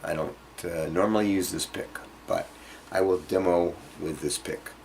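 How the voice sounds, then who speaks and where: A man giving an informal guitar lesson, explaining things directly to the learner in a halting, conversational way. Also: low voice, fairly even intonation, a little mellow, slight background noise. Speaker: guitar teacher. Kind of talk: informal instructional music lesson to camera.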